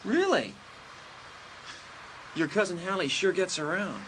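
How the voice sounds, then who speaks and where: A man's voice: sits high, big pitch swings, measured pace, a bit bright, a little hiss or hum, full character voice, low-quality recording. An actor playing an eager, sheepish teenage boy in a dramatised horror audiobook. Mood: surprised, sheepish.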